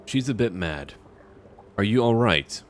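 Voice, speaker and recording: A man hosting a roleplay gameplay video, recapping and musing aloud in a measured way as he plays. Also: lowish voice, animated, soft background music. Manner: measured, musing, talks to himself. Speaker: let's play host. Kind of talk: narrated roleplay gameplay commentary.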